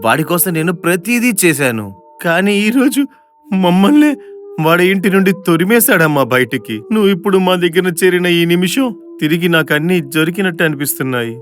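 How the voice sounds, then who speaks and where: Older father for animated moral tale, male actor: emotional, dramatic, raised pitch, light music bed. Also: a little fast. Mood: remorseful, tearful. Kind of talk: animated moral story dub.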